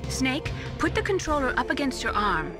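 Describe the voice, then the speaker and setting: A woman playing a reserved, caring medical scientist in a video game, soft-spoken and measured. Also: sits high, sing-song, over music. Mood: tender, caring. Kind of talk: dramatic video game acting.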